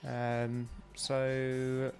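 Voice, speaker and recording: A man's voice: medium pitch, completely flat delivery, very slow, a little mellow, soft background music. A young man with a stuffy, bunged-up nose, chatting casually and rambling with lots of ums while he streams a game. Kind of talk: casual live-stream chat while playing.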